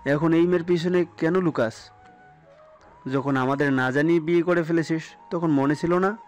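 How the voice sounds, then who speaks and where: A stern, hot-tempered father in an audio story, as a man acts him out. He scolds and gives orders in a slightly high male voice, with music behind. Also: moderate pace, lightly acted. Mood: angry scolding. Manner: scolding, commanding. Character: angry, stern father scolding his grown son.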